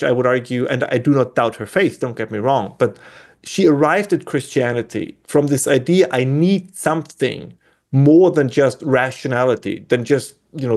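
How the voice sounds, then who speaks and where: A man's voice: medium pitch, darkish tone. A man with an Austrian German accent, a guest in a conversational discussion who speaks thoughtfully and often restarts his phrases while he searches for words.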